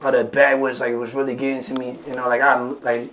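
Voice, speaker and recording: A man with a worn, raspy voice chatting casually and talkatively in slang as a podcast host. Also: mid-range voice, flat delivery, quick pace, fairly bright tone, slight background noise. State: voice worn from smoking and studio work. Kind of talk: casual chat.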